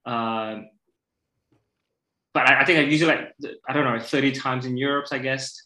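Male guest, thirties, Malaysian accent, bright forward voice, casual chat, roomy echo. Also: mid-range voice, fairly even intonation, moderate pace. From Borneo, Malaysia. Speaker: podcast guest. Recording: echoey, roomy sound.

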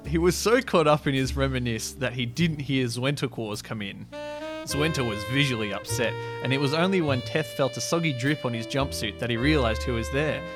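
A male podcast host with a medium-pitched voice, self-amused and mock-dramatic as he moves between storytelling and casual chat. A plucked guitar music bed plays clearly behind him. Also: brisk. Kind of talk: reading his own sci-fi story, casual chat.

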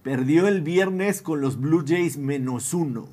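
A man's voice: on the high side, takes it slowly, darkish tone. Mexican man hosting casual sports talk. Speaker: sports show host. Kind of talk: casual sports panel chat, slangy.

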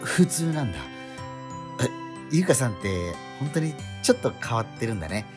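A man's voice: mid-range voice, sing-song, moderate pace, over music, natural voice. A man playing a polite, curious teenage transfer student in a radio drama, with apologetic, puzzled interjections. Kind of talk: conversational radio drama acting.

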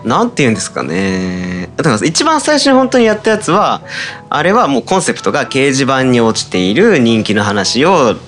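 A man's voice: medium pitch, huge pitch swings, fairly quick, a bit bright, faint music behind. A man, the writer and director of a radio drama, explaining his writing process in casual chat, thinking aloud with many fillers. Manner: thoughtful, hesitant, many fillers.

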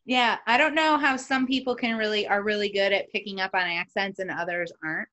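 A woman's voice: medium pitch, a little fast, sharp tone. A woman with an upstate New York accent, hosting a casual interview with upbeat, chatty questions. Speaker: podcast host.

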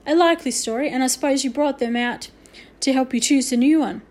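A woman's voice: high-pitched, fairly quick, lightly acted. Woman acting a scolding, suspicious male shopkeeper. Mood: accusing. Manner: heavy, forceful, scornful scolding. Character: angry, suspicious, middle-aged male shopkeeper, scolding and accusing.

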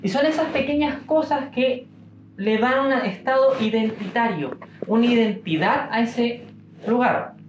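A young man with a Chilean accent giving an informal devotional talk, musing aloud with pauses and fillers. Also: very high-pitched. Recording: roomy, dull-sounding room recording.

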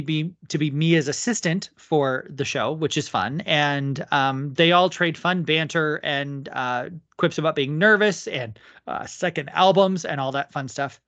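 A woman's voice: deep voice, normal speed, a bit bright, no echo. A woman co-hosting a podcast, chatting casually through a TV episode recap in an agreeable way. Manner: agreeable, frequent short affirmations. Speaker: podcast co-host.

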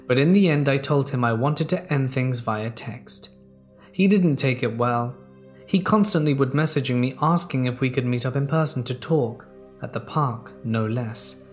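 Male narrator, mid-pitched, steady measured read of true horror stories, soft music underneath. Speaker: narrator reading submitted stories.

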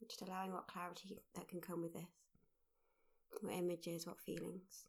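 A woman guides a mindfulness meditation in a mid-pitched voice with plenty of creak. Her delivery is gentle and fairly even, in short phrases with unhurried pauses between them. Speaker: meditation guide, workshop leader.

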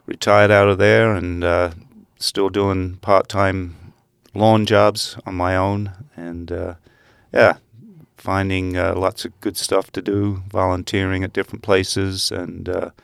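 An older man who grew up in England, a podcast guest talking easily about his life in a relaxed, rambling way. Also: low-pitched, slow pace, gravelly, clear, resonant tone, dry recording, studio quality. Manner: easygoing, rambling. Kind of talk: casual conversation.

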